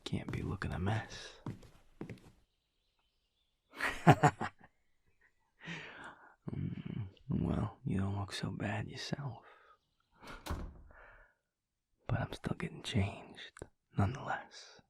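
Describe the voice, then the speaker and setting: A man playing a flirty but tender werewolf in an intimate romantic audio roleplay, speaking in a husky, breathy murmur with a halting, teasing manner. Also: on the low side, in a whisper. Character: flirty, feisty male werewolf, tender and earnest underneath.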